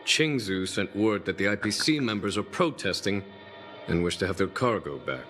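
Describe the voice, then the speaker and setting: A man voicing a calm, authoritative commander in a video game, composed as he gives instructions. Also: low-pitched, moderate pace, faint music behind. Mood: calm, instructing. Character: calm, authoritative male commander giving orders.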